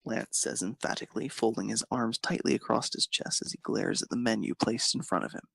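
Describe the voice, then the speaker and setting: A man narrates romantic fan fiction with expression. His mid-pitched voice is strongly creaky and husky. The sound is dry, with no room around it. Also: a little fast, fairly bright tone, dry recording.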